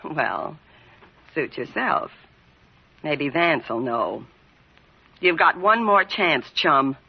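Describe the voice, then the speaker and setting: Hard-boiled scheming dame. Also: female voice, deep voice, very animated, very slow, gravelly, husky, full character voice. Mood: coolly threatening. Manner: brassy, slangy, needling. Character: hard-boiled, scheming, sarcastic racketeer woman. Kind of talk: 1940s radio crime drama acting. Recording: dull vintage radio recording.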